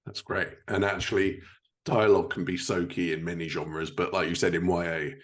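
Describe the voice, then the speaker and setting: A man with a low-pitched voice talks as a podcast host. He puts questions and comments to his guest in a conversational interview style. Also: fairly even intonation, normal speed.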